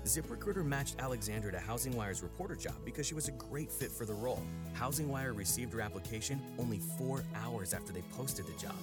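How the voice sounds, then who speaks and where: A man reading a scripted radio ad in an upbeat, polished way. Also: medium pitch. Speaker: ad announcer. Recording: voice over loud music bed.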